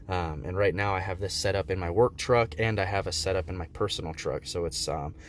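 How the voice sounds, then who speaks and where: Male amateur reviewer, deep register, easygoing and hesitant, unscripted, slight background noise. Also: a little fast. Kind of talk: casual unscripted product review.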